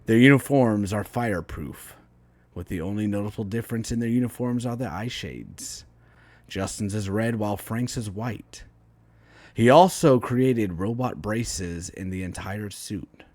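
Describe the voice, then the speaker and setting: Man, lower voice, dramatic storyteller, deliberate emphatic phrasing, dry recording. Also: darkish tone, dry recording. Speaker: author reading own story, writing teacher. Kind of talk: dramatic read-aloud storytelling.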